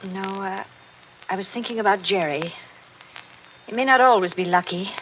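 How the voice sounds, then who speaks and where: An actress playing a hurt, bitter wealthy wife in a 1940s radio drama, speaking in a genteel, wistful way. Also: female voice, medium pitch, normal speed, a bit bright, lightly acted. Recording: muffled old radio broadcast with hiss.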